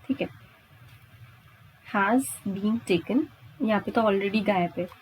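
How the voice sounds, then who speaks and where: Indian woman teaching, slow-paced, noisy background. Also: medium pitch. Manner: instructional, checks understanding with frequent questions and tags. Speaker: English grammar teacher. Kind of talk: exam-prep lesson, explaining step by step.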